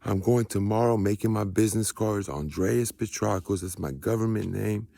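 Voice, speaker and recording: An American man giving a casual motivational testimony, husky and emphatic, repeating phrases in a preachy way for weight. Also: lowish voice, normal speed, dark tone, no room sound. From United States. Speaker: spiritual vlogger.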